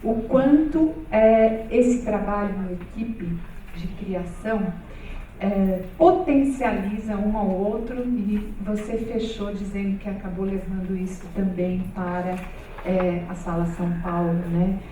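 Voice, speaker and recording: A woman's voice: medium pitch, measured pace, dark tone, slight background noise. Woman moderating in an echoey hall. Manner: hesitant, many fillers, conversational. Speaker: panel moderator. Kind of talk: seminar panel moderation. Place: large hall with stage. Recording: echoey hall, far from mic.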